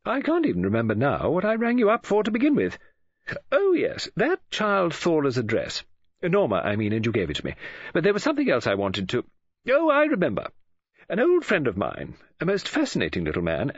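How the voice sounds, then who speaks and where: A woman's voice: sits low, huge pitch swings, fairly quick, breathy edge, dry recording, lo-fi sound, voice pushed up, exaggerated voice. A man voicing a chatty, flustered middle-aged English woman novelist in an audiobook, with a gushing, breezy manner.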